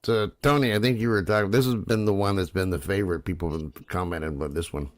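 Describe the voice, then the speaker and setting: A man co-hosting a casual podcast chat, easygoing and quick to laugh along. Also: deep voice, steady tone, soft background music. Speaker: co-host and singer.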